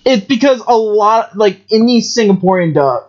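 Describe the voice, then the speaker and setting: A man chats casually as a podcast host. His pitch moves around a lot and his talk rambles with restarts. The recording sounds dull and lo-fi. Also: expressive, normal speed. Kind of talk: casual chat.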